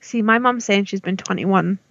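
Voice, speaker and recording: A woman's voice: mid-range voice, moderate pace, creaky, a bit bright, no room sound, muffled recording. A woman in her thirties, a guest on a podcast, chatting enthusiastically and giggling. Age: 30s. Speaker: guest, hand dyer. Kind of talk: casual chat.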